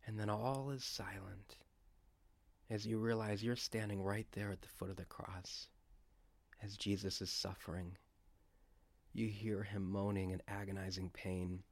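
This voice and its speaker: A man leading a guided scripture meditation, speaking in a deliberate, reverent way with pauses. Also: on the low side, level tone, gravelly, no room sound. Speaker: guided prayer leader.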